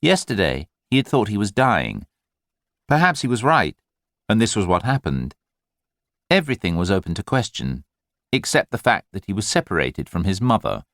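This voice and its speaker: A male audiobook narrator reads scripted literary fiction. His voice sits fairly low and moves with very lively intonation. The delivery is measured and expressive, and the sound is very dry. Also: no room sound.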